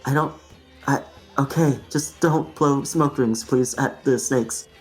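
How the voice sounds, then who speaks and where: A man's voice: medium pitch, slow, soft background music, close to an everyday voice. A man voicing a polite, flustered circus snake dancer who pleads with a coworker.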